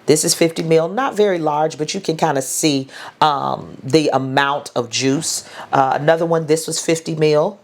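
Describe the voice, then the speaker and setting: A woman's voice: low-pitched, moderate pace. A middle-aged woman with a stuffy, congested nose, chatting through a fragrance haul review with lots of ums and restarts. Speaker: beauty and fragrance vlogger. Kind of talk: casual haul review to camera.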